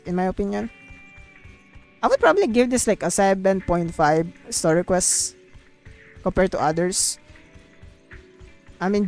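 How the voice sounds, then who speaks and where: A woman's voice: mid-range voice, a little mellow. A young woman livestreamer giving casual, rambling game commentary, repeating herself and filling sentences with "like" and "you know.". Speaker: VTuber livestreamer.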